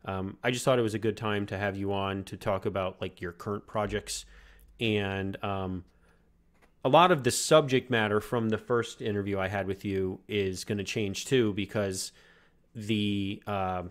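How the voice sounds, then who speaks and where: A man's voice: on the low side, steady tone, normal speed, smooth. A man in his thirties hosting a podcast interview over a video call, loose and friendly, rambling with many fillers. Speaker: podcast host. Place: room at home. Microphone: headset with desk mic on video call.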